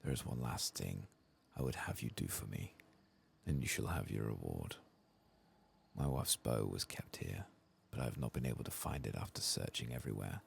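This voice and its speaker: Man voicing a very deep, gravelly, weary old elf. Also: lively intonation, full character voice. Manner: slow, solemn, formal. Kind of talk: soft-spoken game dialogue read-aloud.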